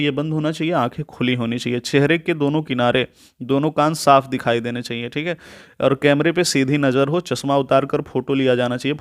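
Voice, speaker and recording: An adult man with a mid-pitched voice works through a tutorial point by point. He reads each item out, then explains it informally in an instructive way, often adding a quick check-in tag. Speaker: tutorial presenter.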